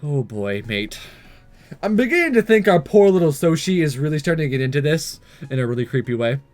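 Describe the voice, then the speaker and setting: Guy doing goofy lets play commentary, kinda high voice, bouncing all over, music behind. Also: a little fast. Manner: animated, stammers and breaks into asides. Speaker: gaming YouTuber. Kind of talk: playful let's-play commentary with dramatic read-aloud.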